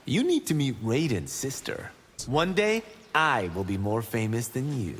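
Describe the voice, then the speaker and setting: A man's voice: mid-range voice, sing-song, a little slow, a bit bright, husky, big-room sound, heavily acted. A man playing a proud, eager young martial arts monk who boasts with self-assurance in video game banter. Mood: cocky.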